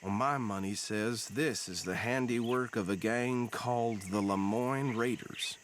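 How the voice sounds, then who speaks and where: A man's voice: medium pitch, measured pace, slight background noise, close to an everyday voice. An actor with a Southern American accent playing a talkative, self-righteous small-town lawman in a Western video game, rambling in a folksy, indignant way. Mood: businesslike. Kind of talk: dramatic video game acting.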